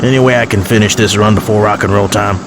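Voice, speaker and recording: Man playing a low-voiced trucker on noisy CB. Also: steady tone, fast talker, natural voice. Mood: worried, resolved. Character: worried male trucker, family man hauling fuel. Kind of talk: CB radio slang exchange in audio drama. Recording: noisy CB radio in truck cab.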